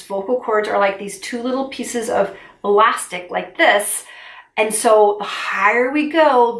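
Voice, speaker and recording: A woman's voice: mid-range voice, recorded from a distance. Middle-aged female vocal coach, encouraging teacher, a bit off-mic in an echoey room. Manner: warm encouraging teacher, gestures with hands while explaining. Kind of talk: instructional video lesson. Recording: roomy sound, a little off-mic.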